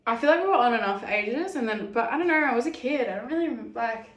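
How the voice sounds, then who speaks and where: A young woman talks through fan questions in a loose, giggly way, her voice sitting fairly high. She leans on "like" as she goes, and the room around her rings with a noticeable echo. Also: animated, fast talker. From Australia. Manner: chatty, giggly, lots of "like" fillers. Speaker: YouTuber answering fan questions. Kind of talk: casual Q&A chat.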